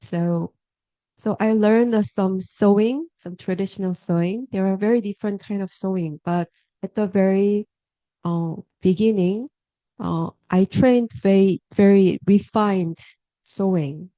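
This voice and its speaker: A woman from Korea with a Korean accent, an artist giving a talk, speaking haltingly and pausing to search for words. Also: medium pitch, warm tone, no room sound, lo-fi sound.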